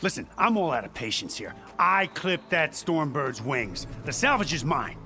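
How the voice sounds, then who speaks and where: Blustering mercenary boss. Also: male voice, fairly high pitch, big pitch swings, moderate pace, pushed and bright, soft background music, exaggerated voice. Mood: belligerent. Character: brash mercenary salvage boss, gruff and blustering. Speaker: mercenary leader. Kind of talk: video game cutscene acting.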